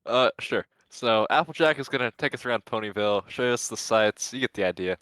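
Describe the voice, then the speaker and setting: A man co-reading a fanfic, casually explaining things with relaxed fillers. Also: medium pitch, fairly quick, clear, resonant tone, no room sound. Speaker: co-reader and commentator.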